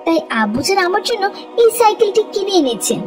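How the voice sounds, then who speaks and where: A woman's voice: very high-pitched, sing-song, fast, over music. A woman voicing a polite, obedient young schoolboy in a cartoon. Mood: friendly, proud. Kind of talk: cartoon voice acting.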